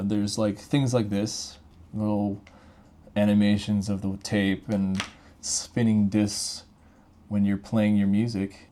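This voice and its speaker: Guy co-hosting a tech review, low dark voice, informal and explanatory. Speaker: co-host of a gadget review. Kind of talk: casual product review chat.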